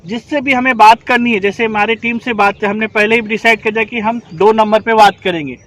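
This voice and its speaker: High-voiced man teaching a class outdoors. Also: fairly even intonation, brisk, a bit bright. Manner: explains step by step, asks the class to confirm. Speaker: rescue trainer. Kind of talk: instructional demonstration.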